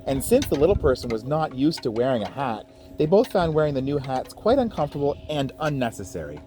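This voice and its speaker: Animated man reading a storybook to children. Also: mid-range voice, fairly quick, slight background noise. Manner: playful, expressive, checks in with listeners. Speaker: teacher reading a picture book aloud to children. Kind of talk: animated children's read-aloud with chatty asides.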